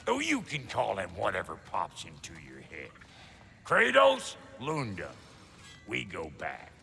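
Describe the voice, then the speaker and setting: An actor playing a crusty, bickering dwarf blacksmith in a video game, blunt and full of folksy backwoods slang. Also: male voice, fairly low pitch, wildly swooping pitch, a little slow, creaky, bright, forceful tone, in a large hall, faint music behind, heavily acted. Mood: indignant, cocky. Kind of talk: cinematic video game acting.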